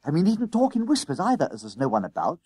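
Guy doing an excitable bossy schoolboy for a storybook, high n a bit husky, plotting away. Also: fast talker, dry recording, clean studio sound, heavily acted. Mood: alarmed, urgent. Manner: urgent whispers and gasps, old-fashioned schoolboy slang. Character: bossy, excitable schoolboy ringleader, eager and conspiratorial.

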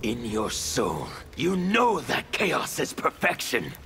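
A man voicing a fanatical, mocking chaos zealot in a video game, theatrical and gravelly. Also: mid-range voice, wildly swooping pitch, exaggerated voice. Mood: gleeful taunting. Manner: theatrical, taunting, drawn-out delivery. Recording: music playing behind.

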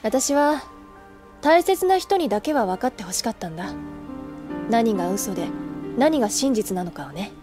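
A woman playing a cool, gentle older schoolgirl in an anime audio drama, guarded and wistful, speaking in an unhurried, understated way. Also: a little high, very animated, music in the background.

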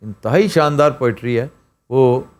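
A middle-aged man hosting a studio chat show, telling stories and reciting verse in an animated way. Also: medium pitch, a little slow. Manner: animated, storytelling with quoted voices. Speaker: host. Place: TV studio set.

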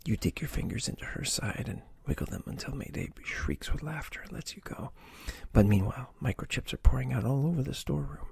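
A man reading an adventure gamebook aloud in a soft, breathy ASMR whisper, stopping to choose pages. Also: mid-range voice, lively intonation, fairly quick, hardly any pauses, dry recording. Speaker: reader of a choose-your-own-adventure book.

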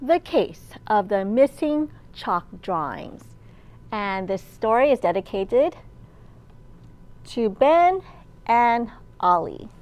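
High-voiced woman reading slowly to small children. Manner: animated, slow and clearly enunciated. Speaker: children's librarian and storytime host. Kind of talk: picture-book storytelling for small children. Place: large indoor library room.

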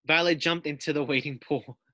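A man's voice: a little high, steady tone, fairly quick, pushed and bright, no room sound. A man with an American accent reading a children's story aloud like a teacher, putting questions to his young listeners. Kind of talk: expressive children's read-aloud narration.

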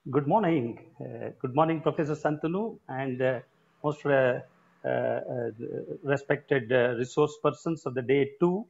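A man in his sixties with an Indian English accent, giving halting keynote remarks full of filler sounds from a room at home. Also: mid-range voice, vocal fry, darkish tone. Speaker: conference advisor and retired sports coach. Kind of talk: keynote remarks at an online conference. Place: home room with shelves of trophies. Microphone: laptop or earphone mic on a video call. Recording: muffled video call audio.

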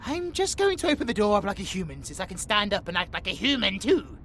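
A man's voice: sits high, sing-song, quick pace, fairly bright tone, slight background noise. A British man playing a pompous, melodramatic tiger-boy cat in a comedic audio roleplay, with a grandiose, theatrical manner. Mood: puzzled, impatient.

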